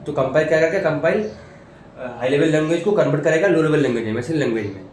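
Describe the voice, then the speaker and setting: A man's voice: fairly high pitch, racing, a little mellow. A man teaching informally, explaining step by step, often repeating himself and asking rhetorical questions. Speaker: programming teacher. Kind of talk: informal spoken tutorial explanation. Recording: very echoey room, distant mic, faint noise.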